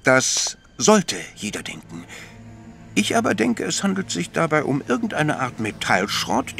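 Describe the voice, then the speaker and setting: An animated, astute priest detective. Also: male voice, medium pitch, gravelly, exaggerated voice. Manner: deliberate, explanatory, lecturing. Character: astute priest detective, patient, gently chiding. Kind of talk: German audio drama dialogue.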